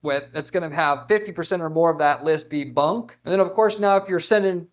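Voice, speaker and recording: A man's voice: a little high, brisk. A man giving an unscripted marketing explainer in a blunt, emphatic, salesy manner, often repeating words and restarting phrases. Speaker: marketing agency owner giving advice.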